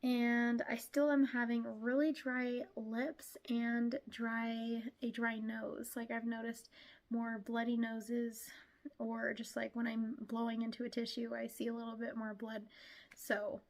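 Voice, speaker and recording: Young woman vlogger, somewhat high voice, stuffy from a cold, relaxed chatty delivery with frequent laughs. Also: unhurried. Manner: chatty, laughs often, many "like"s. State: congested from a cold. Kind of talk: casual pregnancy update.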